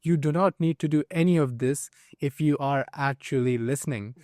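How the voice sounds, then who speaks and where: A man's voice: fairly high pitch, normal speed, a little mellow, no echo, clean studio sound. A young man from India, a native Hindi speaker, hosting a casual podcast chat in an earnest way and often asking his guest for agreement. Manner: earnest, asks for agreement, many fillers. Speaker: podcast host.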